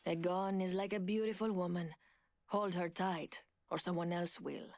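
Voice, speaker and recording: An actress playing a poised, confident, seductive thief in a video game, with cool, teasing delivery. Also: female voice, fairly low pitch, fairly even intonation, moderate pace, a little mellow, close to an everyday voice. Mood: teasing confidence. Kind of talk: video game character voice lines.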